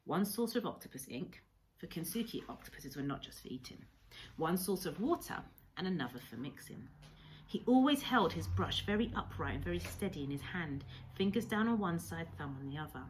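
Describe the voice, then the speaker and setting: A woman reads a children's story aloud with a lot of melodic variation in a fairly low voice. Her phrasing is measured and emphatic, in the manner of a teacher at storytime. There is clear noise in the recording. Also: animated, rough recording. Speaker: teacher reading a story to pupils. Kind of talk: expressive children's audiobook-style storytelling.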